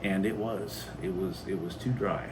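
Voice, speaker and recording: A man's voice: low-pitched, normal speed. Casual American man chatting over background noise. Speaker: homestead vlog host. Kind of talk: casual chat reading viewer comments.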